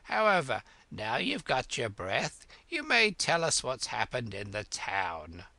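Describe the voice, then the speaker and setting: A man voicing a fussy, excitable storybook king who speaks in slow, emphatic phrases. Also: medium pitch, gravelly, no room sound, exaggerated voice. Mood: indignant.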